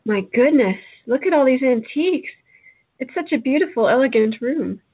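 A woman's voice: high voice, animated, normal speed, husky, exaggerated voice. A woman playing an anxious, sceptical, proper young lady in a radio play. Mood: admiring. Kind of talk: hobby radio play acting. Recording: dull lo-fi audio.

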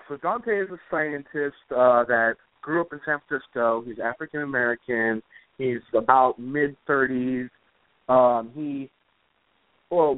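Man talking haltingly over a phone-like line. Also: mid-range voice. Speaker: guest, comic book author. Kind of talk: casual interview answers. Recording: roomy, phone-like internet radio call.

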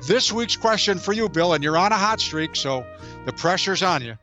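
A man's voice: high voice, expressive, moderate pace, pushed and bright, muffled recording, soft background music. A man presenting a scripted trivia segment on a sports radio show.

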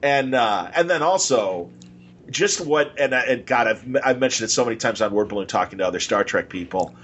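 A man's voice: mid-range voice, expressive, brisk, a bit bright, lo-fi sound, a little hiss or hum. A man hosting a podcast, chatting casually about films in an enthusiastic, rambling way and slipping into imagined quotes. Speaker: podcast host.

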